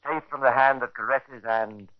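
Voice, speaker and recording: An actor playing a sardonic, macabre storyteller, narrating a 1950s radio crime drama with ironic relish and deliberate phrasing. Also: male voice, mid-range voice, steady tone, lightly acted. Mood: sardonic, ominous. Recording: dull vintage radio recording.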